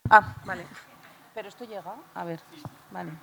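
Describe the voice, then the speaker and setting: A woman's voice: fairly low pitch, fairly even intonation, moderate pace, creaky, very noisy. A woman moderating a question round in an informal way, thinking aloud as she hands out turns. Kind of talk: casual moderating of a Q&A.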